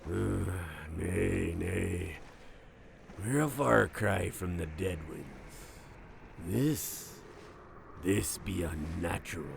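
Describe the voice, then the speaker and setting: A man in an audio drama playing a gruff, commanding sea captain with a raspy, measured delivery. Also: low-pitched, a bit bright, slight background noise, heavily acted. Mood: wary. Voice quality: raspy, gravelly. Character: gruff, wary old-fashioned sea captain of a lumber ship. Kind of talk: cinematic audio roleplay acting.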